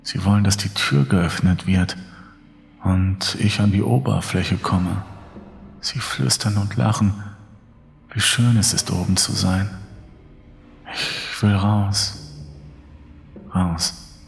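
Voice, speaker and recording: A desperate survivor going mad alone underground , as a man acts him out, creaky and anguished. He speaks in halting, very slow phrases in a horror tale, with long reverb and music behind. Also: sits low, fairly even intonation, exaggerated voice. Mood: haunted, longing. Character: desperate male survivor losing his mind alone in a bunker. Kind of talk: German horror audiobook narration.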